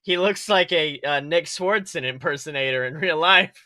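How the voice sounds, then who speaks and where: A man talking casually as a podcast co-host, excitable and exclamatory, swearing freely as he goes. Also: on the high side, moderate pace, dry recording, studio quality. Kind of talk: casual chat about an animated film.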